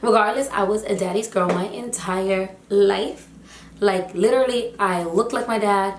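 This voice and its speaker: A young woman telling a casual storytime vlog, chatty and animated with lots of fillers, her voice slightly hoarse. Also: medium pitch, normal speed, a little hiss or hum. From United States, Haitian family. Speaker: vlogger, teacher. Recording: echoey room.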